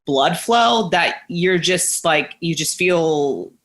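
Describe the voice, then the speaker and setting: A candid woman guest with a naturally low voice talks in loose, rambling bursts, full of likes and restarts. Her tone is bright and forward, and she comes through a home video-call mic. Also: expressive, takes it slowly. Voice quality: naturally deeper voice. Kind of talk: candid casual interview. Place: living room at home.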